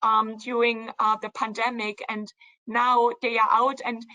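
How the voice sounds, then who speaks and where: A woman co-founder hosting a virtual company event, warmly praising a colleague and handing the floor over to him. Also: a little high, little pitch movement, unhurried, poor audio. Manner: warm, praising, guides the conversation. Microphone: video call.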